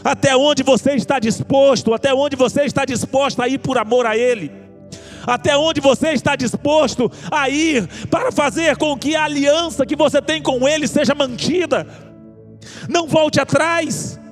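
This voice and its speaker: A man's voice: very high-pitched, normal speed. A man with a Brazilian accent preaching an evangelical sermon, exhorting listeners with impassioned, repeated appeals. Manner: impassioned, exhorting, rhetorical repetition. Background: music under the preaching.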